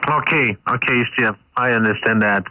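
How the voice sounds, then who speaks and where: Over a ham radio link, a man who is not a native English speaker talks haltingly, searching for words and repeating himself. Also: mid-range voice, sharp tone, no echo. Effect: ham radio transmission. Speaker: amateur radio operator. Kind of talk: casual radio conversation. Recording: muffled, band-limited shortwave radio signal.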